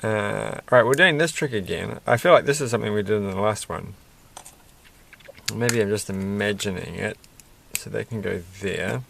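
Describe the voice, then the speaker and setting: Soft-spoken gravelly man. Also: lowish voice, normal speed, noisy background. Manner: soft-spoken, muttering to himself, self-deprecating. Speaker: vlogger building a toy kit. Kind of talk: casual rambling build commentary. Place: indoors at a desk at night.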